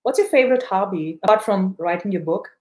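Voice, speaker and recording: A woman's voice: mid-range voice, animated, brisk, darkish tone. A woman hosting a podcast interview, encouraging and praising her guest, with many fillers. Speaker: podcast host.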